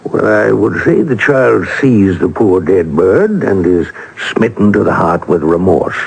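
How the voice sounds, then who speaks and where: An actor playing a wry, inquisitive American man of letters in a vintage radio drama, with a husky, gravelly voice and a dry, probing manner. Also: on the low side, expressive, measured pace, heavily acted, rough recording. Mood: wry, sardonic.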